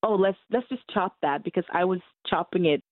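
A woman in her twenties with a Norwegian accent speaks English as a second language, pausing and circling back while she hunts for words. Her voice is fairly low and comes through a thin, phone-like line with no room sound. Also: no echo. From Norway. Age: 20s. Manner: hesitant, searching for English words. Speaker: musician guest. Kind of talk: casual interview over a call.

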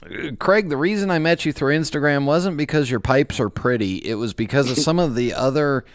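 A man's voice: mid-range voice, brisk, no room sound, clean studio sound. A man hosting a podcast interview in casual chat, joking and chuckling at his own quips. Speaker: podcast host.

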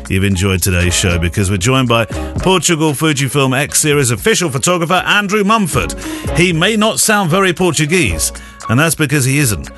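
A man hosting a photography podcast on location, chatting casually and joking with frequent restarts. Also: mid-range voice. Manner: chatty, jokey, rambling with restarts. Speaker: podcast host. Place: London pub, on location.

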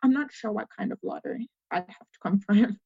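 A young woman from Zambia with a mixed Zambian, Australian and American accent, answering interview questions hesitantly with many pauses. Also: medium pitch, gravelly, mellow, husky, dry recording. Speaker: guest. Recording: dry, dull lo-fi sound.

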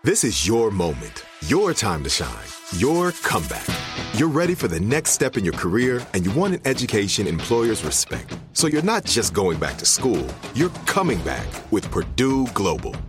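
A male announcer gives an inspirational ad read in a lowish voice with very wide intonation. Clear music runs underneath. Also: wildly swooping pitch, moderate pace. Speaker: advertising announcer. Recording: music bed behind voice.

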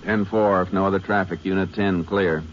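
An actor playing a calm, methodical lawman who questions witnesses, in an old radio drama. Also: deep voice, normal speed, background noise, close to an everyday voice. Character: calm, steady male Texas lawman, middle-aged. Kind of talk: 1950s radio drama acting. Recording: dull lo-fi old radio recording.

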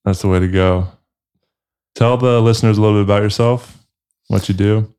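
A man's voice: on the low side, fairly even intonation, brisk, warm tone, clear, resonant tone, no room sound, studio quality. A man hosting a podcast, talking casually in an earnest, affectionate and laid-back way. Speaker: podcast host. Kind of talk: casual podcast chat and announcements.

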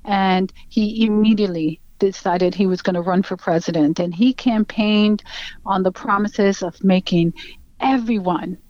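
A woman's voice: medium pitch, a little slow, darkish tone, dry recording. Middle-aged Venezuelan woman recounting, dull dry audio. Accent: Venezuelan Spanish. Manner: earnest, many fillers, acts out quoted speech. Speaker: interview guest. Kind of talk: personal account in an interview.

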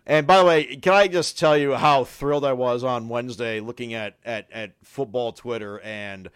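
A man co-hosting a casual football podcast, his voice raspy from a cold, often stumbling over and repeating words and laughing. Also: medium pitch, fairly even intonation, normal speed, a bit bright, no room sound, studio quality. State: sick with a cold. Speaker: podcast co-host.

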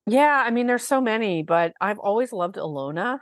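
A woman with a low voice, co-hosting a podcast, talking in an enthusiastic, chatty way with lots of fillers. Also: expressive, normal speed, dry recording, clean studio sound. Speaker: podcast co-host. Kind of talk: casual chat about a musical.

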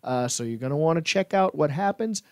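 A man's voice: a little high, animated, fairly quick, smooth, dry recording, clean studio sound. A man hosting a sports podcast in a casual, jokey style, playful and given to hyperbole.

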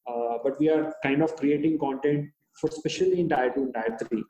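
An Indian-accented man, a native Hindi speaker, answers interview questions in a halting way, full of restarts and fillers. His voice is mellow and dark, and the room gives it a noticeable echo. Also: a little high. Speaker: startup founder, guest. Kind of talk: interview conversation.

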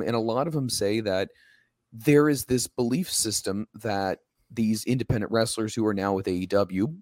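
Hoarse, emphatic podcast co-host man. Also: on the low side, dry recording, studio quality. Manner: emphatic, ranting, animated when quoting others. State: slightly hoarse. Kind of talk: casual podcast chat.

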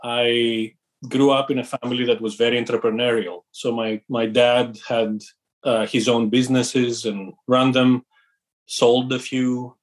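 Middle-aged man from Greece, founder and guest, measured and reflective delivery with fillers. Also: mid-range voice, flat delivery. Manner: thoughtful, measured, with fillers and restarts. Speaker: company founder and CEO, guest. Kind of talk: interview conversation.

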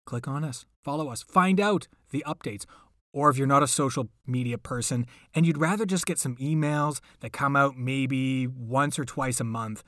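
A man's voice: medium pitch, fairly bright tone, dry recording, clean studio sound. A man hosting a podcast, speaking casually and playfully, with emphatic, pleading repetition. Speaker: podcast host.

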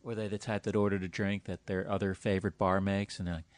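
Fast-talking low-voiced man hosting a podcast. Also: little pitch movement, dry recording. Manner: quick, joking, prodding questions. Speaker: podcast host. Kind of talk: casual interview chat.

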